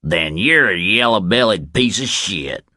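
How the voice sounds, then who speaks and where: A man's voice: fairly low pitch, animated, a little slow, pushed and bright, no room sound, clean studio sound, pitched above the performer's own voice, exaggerated voice. A man voicing an aggressive, heavyweight bully in a bar, taunting and menacing, in an audiobook. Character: aggressive, meaty heavyweight Texan barroom bully.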